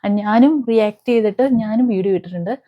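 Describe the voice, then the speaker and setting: A woman talking casually to viewers as an online commentator, halting and often searching for words. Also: medium pitch. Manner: halting, searching for words, with frequent hesitant pauses. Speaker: YouTube commentator. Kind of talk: casual reaction vlog talk to viewers. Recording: dull, lo-fi sound.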